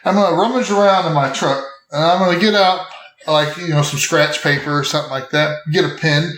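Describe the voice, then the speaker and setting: Higher-pitched man chatting in an echoey room. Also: a little fast, fairly bright tone. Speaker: player in a tabletop game. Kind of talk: casual game-table chat.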